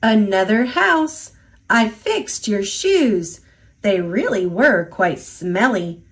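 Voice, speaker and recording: Woman playing a boastful leprechaun, lively and sing-song. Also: mid-range voice, slow pace. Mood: cocky, teasing. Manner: playful, taunting, sing-song rhyming delivery. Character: boastful, mischievous little male leprechaun, two hundred years old.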